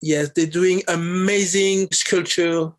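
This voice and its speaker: A man from France with a French accent, chatting casually as an interview guest and often pausing to search for words. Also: high voice, fairly even intonation. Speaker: guest musician.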